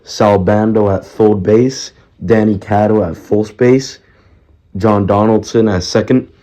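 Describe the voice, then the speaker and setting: A man with a raspy, sick-sounding voice narrating baseball history casually, loose and rambling, correcting himself as he goes. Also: lowish voice, dark tone. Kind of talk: casual narration over game footage.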